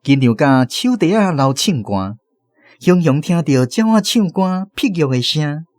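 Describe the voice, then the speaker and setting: Animated Taiwanese male storyteller. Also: on the high side, a little slow, no echo, clean studio sound. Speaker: narrator. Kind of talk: scripted radio drama story narration. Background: faint music.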